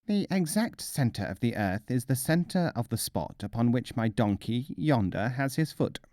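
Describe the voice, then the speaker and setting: Man playing a wry, cocksure mullah, very animated. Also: medium pitch, dry recording, studio quality, lightly acted. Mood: smugly certain. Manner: assured, deliberate, teasing. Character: wry, cocksure male mullah, trickster folk sage. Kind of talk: storybook character voice.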